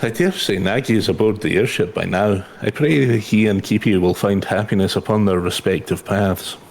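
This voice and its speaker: A man voicing a gentle, earnest wandering minstrel from a video game, speaking in a solemn, measured, poetic way, with music behind him. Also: fairly low pitch, gravelly, slight background noise. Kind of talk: video game dialogue read aloud.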